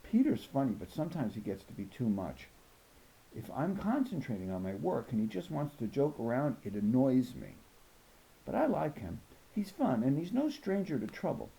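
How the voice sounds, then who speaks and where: A man reading a children's novel aloud as an expressive first-person narrator. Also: mid-range voice, moderate pace, creaky, low-quality recording. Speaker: audiobook reader.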